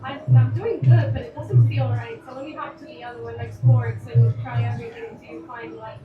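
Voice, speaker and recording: Echoey sound with music behind carries a woman's fairly high, very animated voice. She comments casually from the audience in a chatty way, acting out her thoughts. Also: brisk, narrow, tinny audio. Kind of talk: casual spoken comment at a live talk.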